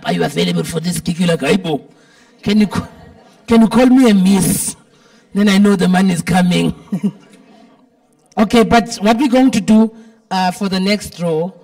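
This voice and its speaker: A woman's voice: on the low side, a little mellow. Playful South African woman hosting, slightly distant. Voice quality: big, heavy-timbred voice. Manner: playful, chatty, teasing. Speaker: event host. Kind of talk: informal live event hosting with joking banter. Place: event venue with a dinner audience. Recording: live event, distant from the mic.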